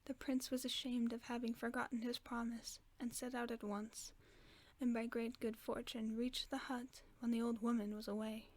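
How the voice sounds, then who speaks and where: A woman voicing a gentle, caring werewolf friend, reading a bedtime story in a soft, often whispered voice for a sleep-aid roleplay. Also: a little high, flat delivery, close to an everyday voice. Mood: soothing. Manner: slow soothing bedtime reading.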